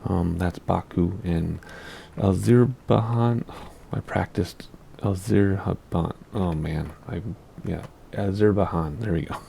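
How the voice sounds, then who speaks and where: A man's voice: sits low, slow, creaky, warm tone. A man hosting a flight simulator gameplay video in casual commentary, his voice scratchy from allergies, rambling and easily distracted and laughing at his own slips. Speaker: flight sim gameplay host.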